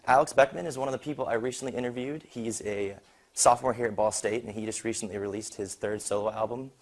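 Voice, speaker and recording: A man's voice: mid-range voice, fairly even intonation, quick pace. A young man giving an informal student slide presentation in a casual, conversational way. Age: young adult.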